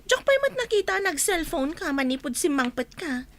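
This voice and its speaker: A woman's voice: sits high, big pitch swings, moderate pace, fairly bright tone. A woman with an Ilocano accent playing a doubtful, questioning friend in a radio drama.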